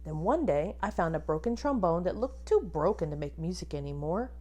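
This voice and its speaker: A woman teacher reading a picture book aloud to children expressively, pausing to ask them questions and point things out. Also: fairly low pitch. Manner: animated, addresses young children with questions.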